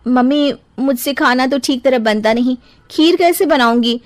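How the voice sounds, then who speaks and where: A woman voicing a fussy, fitness-obsessed young wife with a chirpy, slightly ditzy manner and a high voice. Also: normal speed, no echo, lightly acted.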